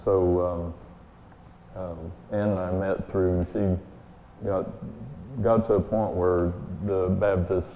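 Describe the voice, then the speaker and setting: A man with a booming voice giving a guest sermon in a church, telling stories in a folksy, relaxed way with many fillers. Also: takes it slowly, pauses often, a little hiss or hum. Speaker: guest speaker. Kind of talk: sermon with personal story. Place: church sanctuary. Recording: echoey hall, tinny phone-like sound.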